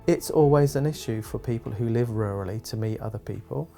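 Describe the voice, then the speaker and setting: A man with a soft-edged, mellow tone and a mid-range voice talks about his youth work in interview style. Music runs behind him. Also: medium pitch, brisk, vocal fry. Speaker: youth group worker. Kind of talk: interview-style account of work for a promotional film. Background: background music.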